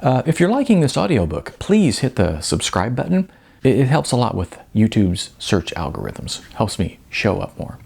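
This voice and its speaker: A man reading his own novel as an audiobook narrator, in an expressive, conversational way with some hesitations. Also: mid-range voice, moderate pace, creaky, slightly rough.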